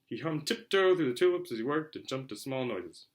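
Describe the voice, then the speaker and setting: A man narrating a children's novel aloud in an animated way, occasionally stumbling over words. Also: medium pitch, brisk. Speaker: reader, show host. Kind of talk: amateur audiobook-style story narration.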